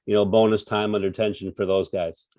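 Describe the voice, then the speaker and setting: A man who is a coach, speaking in a relaxed, slightly hesitant way in a roundtable discussion. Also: on the low side, normal speed, no echo, studio quality. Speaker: strength coach.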